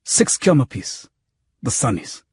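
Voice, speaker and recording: A man's voice: measured pace, no echo, clean studio sound, lightly acted. A man voicing a pushy, amiable, corrupt cop who wheedles and haggles theatrically in an audiobook. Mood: sly, amiable. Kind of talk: acted audiobook dialogue.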